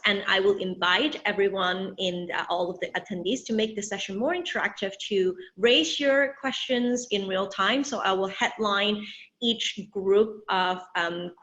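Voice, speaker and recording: A woman moderating an online interview, talking hesitantly with many pauses and restarts. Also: medium pitch, a bit bright. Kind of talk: interview moderation on a video call. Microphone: video call. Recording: dull lo-fi video call audio.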